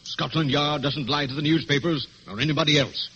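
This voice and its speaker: An actor playing a blustering, rigid police inspector with a British accent in an old-time radio thriller, formal in manner. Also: male voice, a little high, steady tone, brisk, fairly bright tone, natural voice. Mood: stern. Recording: muffled old radio recording, faint noise.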